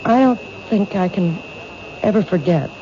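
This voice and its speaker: A woman's voice: mid-range voice, animated, normal speed, lightly acted. A woman acting an anxious, self-doubting woman in danger in an old-time radio drama, earnest, dramatic and hesitant. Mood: haunted, remembering. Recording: noisy, muffled old radio broadcast.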